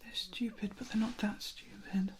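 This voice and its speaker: A woman playing a protective, world-weary vampire in a soft, breathy whisper, in an ASMR roleplay. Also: mid-range voice, fairly even intonation, natural voice. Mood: gently warning. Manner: slow, gentle, admonishing. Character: protective, world-weary female vampire tending a loved one.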